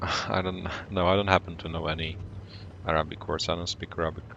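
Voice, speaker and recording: A man from Poland with a Polish accent, whose first language is Polish, calmly commenting on his game for a live stream and greeting viewers in chat. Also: sits low, gravelly, muffled recording, a little hiss or hum. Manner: calm, unhurried, greets chat viewers between plays.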